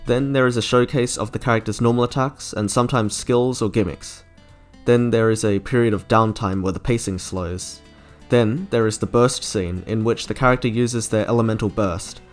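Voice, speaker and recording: A man's voice: fairly low pitch, steady tone, normal speed, faint music behind. A man narrating a scripted video essay as its host.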